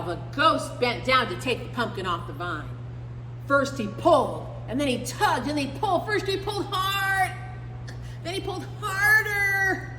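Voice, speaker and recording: A woman librarian reading a picture book aloud to children, slow and animated, with big swings in her voice. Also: high voice, roomy sound, in a large hall, background noise. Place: library room.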